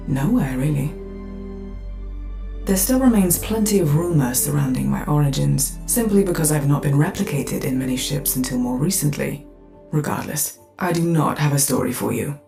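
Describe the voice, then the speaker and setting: A woman's voice: sits low, gravelly, mellow, roomy sound. A woman voicing a secretive, world-weary female starship AI who speaks in a dry, wry and guarded way. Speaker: ship AI character in a voice pack.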